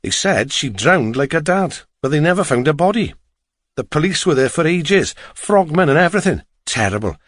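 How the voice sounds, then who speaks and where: A man's voice: a little high, very animated, normal speed, no echo, studio quality, voice pushed up. A man voicing a chatty, curious middle-aged taxi driver with a Welsh accent in an audiobook. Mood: grave, gossipy. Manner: chatty, lilting, inquisitive.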